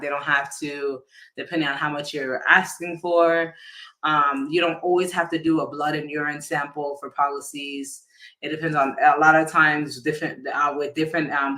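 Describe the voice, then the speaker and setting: A man with a fairly high, very bright voice explains things in an informal live-talk style. His delivery is casual and halting, with sentences often restarted and reworded as he goes. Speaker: insurance agent giving a financial education talk.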